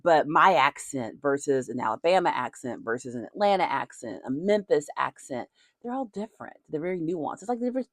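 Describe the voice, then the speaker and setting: A chatty speaker from Nashville, Tennessee, whose Southern accent is softened but still comes through. The delivery is animated, with a voice that rises and falls freely and frequent laughter. The sound is dry, with no room echo. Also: medium pitch. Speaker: video creator. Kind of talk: casual chat.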